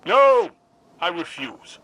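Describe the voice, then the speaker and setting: An actor playing a dry, stern, reluctant veteran soldier with a deadpan, exasperated manner in a video game. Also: male voice, on the high side, takes it slowly, slightly rough, lo-fi sound, full character voice. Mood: reluctant, defensive.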